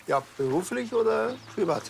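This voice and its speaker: An actor playing a dry, grumbling policeman with a Bavarian accent and deadpan delivery in a crime comedy. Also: high-pitched, normal speed, creaky, background noise, exaggerated voice.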